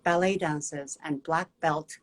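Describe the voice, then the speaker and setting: A woman, a guest movement teacher telling a personal story in a gentle, reflective way with many pauses. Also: sits low, fairly even intonation. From Japan.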